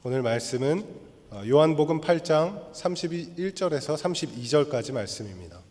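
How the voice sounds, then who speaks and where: A man preaching a sermon as pastor, his voice hoarse, speaking in a measured, explanatory way and leading the congregation through a reading. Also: mid-range voice, a little mellow. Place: church sanctuary. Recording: long hall reverb.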